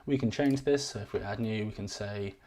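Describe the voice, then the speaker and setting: A man giving a live-streamed software walkthrough, rambling and self-deprecating, his voice wearing thin. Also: on the low side, fairly quick. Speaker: software demo presenter.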